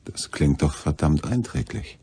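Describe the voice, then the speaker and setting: A man's voice: rumbling low, animated, measured pace, warm tone, a little hiss or hum. An actor playing a young, wry, inquisitive male journalist who probes as he talks, in a German radio crime drama. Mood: wry, brooding. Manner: wry, probing.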